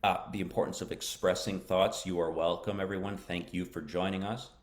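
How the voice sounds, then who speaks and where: A deep, low-pitched American man leads a live English lesson, answering viewers' questions as they come in. He talks slowly and encouragingly, repeating his main points so they sink in. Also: steady tone. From United States. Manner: slow, encouraging, repeats key phrases. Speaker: English teacher.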